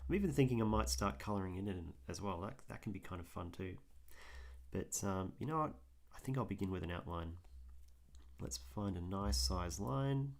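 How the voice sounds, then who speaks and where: Hoarse aussie guy rambling on a drawing stream, lowish voice, lots of ums. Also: expressive, moderate pace. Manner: rambling, frequent ums, thinks aloud while working. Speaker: artist streaming his drawing. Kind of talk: casual livestream commentary while drawing.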